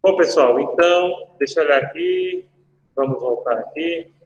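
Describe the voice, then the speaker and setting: A man's voice: on the high side, wildly swooping pitch, moderate pace, dull audio. A man, a law professor giving a casual online lecture, chatty and full of anecdotes and asides to his students. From Brazil. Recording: echoey room with long reverb.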